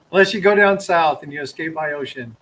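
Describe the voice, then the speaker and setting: A man's voice: on the high side, fairly bright tone, poor audio. A man from Texas hosting a casual live stream, chatting in an easygoing, joking way with a guest. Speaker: coin roll hunting streamer.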